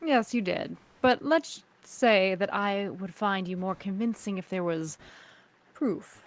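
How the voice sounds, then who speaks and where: A woman playing a cool, suspicious crime syndicate boss, speaking formally and with measured skepticism in a sci-fi table read. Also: mid-range voice. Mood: probing, skeptical.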